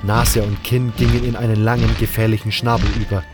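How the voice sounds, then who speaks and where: A man's voice: fairly low pitch, little pitch movement, brisk. A man narrating a scripted fantasy audio drama in a dramatic storyteller's style. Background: music.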